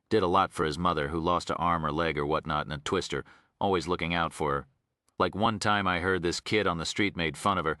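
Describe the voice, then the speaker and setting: A man's voice: deep voice, bright, forceful tone, no echo, studio quality. A man voicing a retired Texan prison officer in an audiobook, an older man with a folksy, rambling drawl. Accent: Texas.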